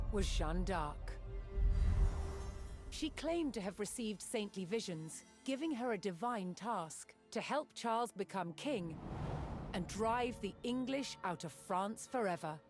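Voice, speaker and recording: A woman reading scripted historical documentary narration in a measured, dramatic storytelling manner with deliberate pauses. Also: mid-range voice. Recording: studio narration over background music. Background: music.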